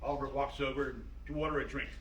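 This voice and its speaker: Bright-voiced man reading stage directions. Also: medium pitch, flat delivery, quick pace. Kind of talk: scripted narration. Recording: roomy, distant mic, audible noise.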